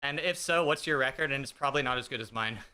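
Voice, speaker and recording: Bright-voiced joking male streamer. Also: medium pitch, quick pace, clear, resonant tone. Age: 30s. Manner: joking, self-deprecating, chatty; talks while riding an indoor bike. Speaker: fitness streamer. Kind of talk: casual live-stream chat with viewers. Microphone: streaming desk mic with noise gate.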